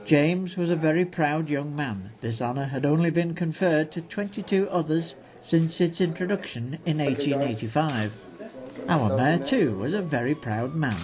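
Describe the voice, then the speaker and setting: A man's voice: fairly high pitch, normal speed, a crowd in the background. A man reads scripted narration for a local newsreel as its narrator. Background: music.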